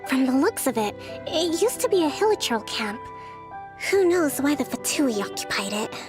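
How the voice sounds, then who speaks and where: A woman voicing a calm, clever young military strategist in a video game, speaking in a measured, articulate way. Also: high-pitched. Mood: calm, analytical. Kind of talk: scripted video game dialogue. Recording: background music under the voice.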